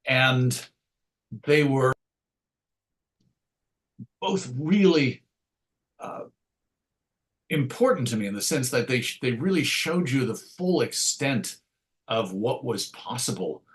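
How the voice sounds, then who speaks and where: A man's voice: mid-range voice, sing-song, slow, pauses often. A middle-aged man reminiscing casually as an interview guest, hesitant and rambling, often interrupting himself to restart a thought. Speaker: interview guest, writer and radio show host.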